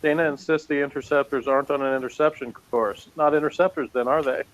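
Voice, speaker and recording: A man's voice: mid-range voice, steady tone, fast talker, muffled recording, slight background noise. Dry wry man reading review notes. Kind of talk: casual review chat.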